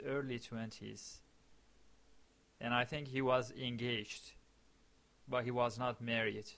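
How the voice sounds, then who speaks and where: Slow, bright-voiced man. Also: medium pitch. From eastern Turkey. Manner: slow, deliberate, repeats phrases as he searches for words. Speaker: storyteller. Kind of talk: personal anecdote told in English.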